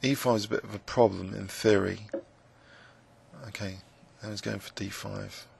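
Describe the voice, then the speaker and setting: Croaky man thinking aloud over chess. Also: fairly low pitch, flat delivery, normal speed, a little mellow, a little hiss or hum. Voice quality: gravelly. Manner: musing and hesitant, with many fillers. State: croaky voice, clearing throat. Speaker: chess commentator playing online blitz. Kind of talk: live game commentary, thinking aloud.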